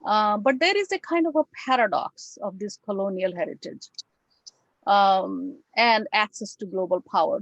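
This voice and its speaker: A woman's voice: mid-range voice, no room sound, rough recording. Reflective Bengali-accented woman lecturing on a call. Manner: reflective, with frequent fillers and pauses. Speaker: guest lecturer. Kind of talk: academic talk on a video call. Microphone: video call.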